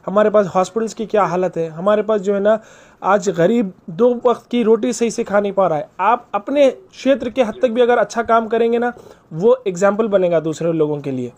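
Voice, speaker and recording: A man making an earnest, persuasive appeal on a recorded phone call, polite and deferential, repeating himself for emphasis. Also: high-pitched. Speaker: caller.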